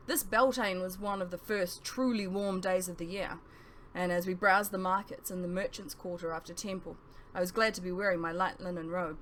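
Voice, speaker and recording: Lower-pitched woman narrating an audiobook. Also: fairly bright tone, slight background noise, rough recording. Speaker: author reading own novel aloud. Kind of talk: scripted first-person audiobook narration.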